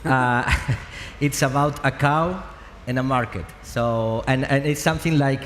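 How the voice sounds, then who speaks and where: A man's voice: medium pitch, measured pace. A man speaking as a startup CEO on a conference panel, playful as he tells a self-mocking anecdote. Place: large conference hall. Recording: echoey hall with faint noise.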